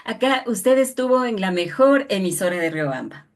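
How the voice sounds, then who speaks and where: A woman's voice: medium pitch, animated, normal speed. A woman with an Ecuadorian accent conducting an interview in a formal, respectful manner. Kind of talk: radio interview.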